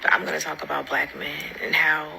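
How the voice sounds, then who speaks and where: A woman with a low, heavily gravelly voice rants informally. Her intonation stays flat, and the recording is clearly noisy with clicks. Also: fast talker. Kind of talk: informal opinionated rant.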